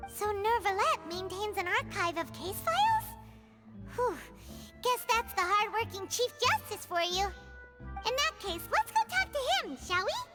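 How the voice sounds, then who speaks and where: A woman voicing a tiny, chirpy, childlike fairy companion in a video game, with a squeaky, animated delivery. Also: measured pace, over loud music, full character voice. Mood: eager, curious. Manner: refers to self in third person, animated.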